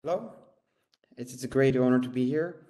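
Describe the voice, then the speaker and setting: A man, a professor and coach, giving short formal well-wishes in a halting way, often repeating his phrases. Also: medium pitch, dark tone. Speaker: professor and debate team coach.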